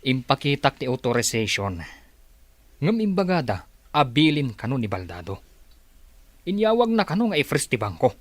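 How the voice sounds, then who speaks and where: A man's voice: mid-range voice, sing-song, normal speed, pauses often, dry recording. An actor playing a defensive, agitated male henchman in a radio drama, making excuses.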